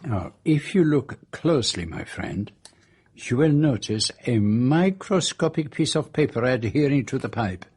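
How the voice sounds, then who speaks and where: An actor playing a famous foreign detective in a radio drama, with a French accent, precise, courteous, self-assured and dryly witty. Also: male voice, mid-range voice, animated, unhurried, darkish tone, slightly rough, dry recording. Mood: composed, courteous. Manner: precise, courteous, sprinkles speech with French phrases. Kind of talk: radio drama dialogue and narration.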